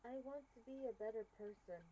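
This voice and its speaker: A woman with a fairly high but mellow voice reads game text aloud as a streamer. She is deliberate and expressive, and there is faint noise behind her. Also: low-quality recording. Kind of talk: reading game text aloud during a playthrough.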